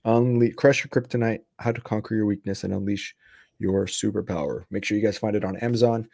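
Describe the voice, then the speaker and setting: A man hosting a podcast in casual chat, upbeat and rambling, with many fillers and restarts as he gives shout-outs. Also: on the low side, steady tone, fairly quick, creaky, no room sound, clean studio sound. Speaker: podcast host.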